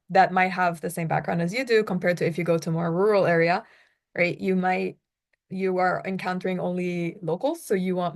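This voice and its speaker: A young woman from the United States, moderating a casual group discussion in a chatty way with quick asides. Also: on the low side, vocal fry.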